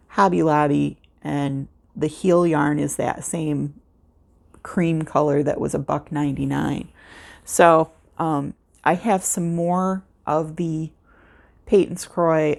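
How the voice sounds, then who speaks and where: An American woman chatting casually in an unscripted vlog, with a calming voice and a rambling, unhurried way of talking. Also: sits low, vocal fry. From United States. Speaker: craft vlogger, host. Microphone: external microphone with a cell phone.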